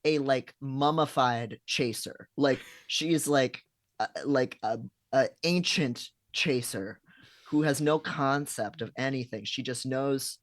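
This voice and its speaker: A man's voice: mid-range voice, dry recording. A man co-hosting a live stream, chatting casually with lots of likes and restarts. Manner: halting, filler-heavy, frequent restarts. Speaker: stream co-host. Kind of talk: casual chat.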